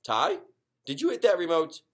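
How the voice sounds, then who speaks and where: A man's voice: fairly high pitch, very animated, moderate pace, pitched above the performer's own voice. Man playing an easygoing, folksy storybook dad. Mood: commanding. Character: easygoing family dad, chuckling storyteller, gently bossy.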